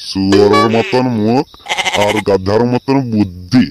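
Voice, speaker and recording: A man's voice: fairly low pitch, unhurried, over loud music, lightly acted. A man voicing a bossy, scolding village father of two grown sons in a comic cartoon. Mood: angry, scolding. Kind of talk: comic cartoon dialogue in Bengali.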